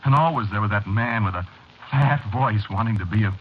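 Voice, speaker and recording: An actor playing a frightened, unraveling man in an old-time radio horror drama, halting and pleading, as if haunted. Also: on the low side, close to an everyday voice. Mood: haunted, weary. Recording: noisy, muffled old radio drama recording.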